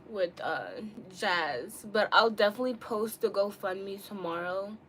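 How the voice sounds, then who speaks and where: A woman's voice: on the low side, fairly bright tone. A woman in her early twenties chatting casually to live-stream viewers in a candid, slangy, rambling way. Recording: clearly noisy room ambience.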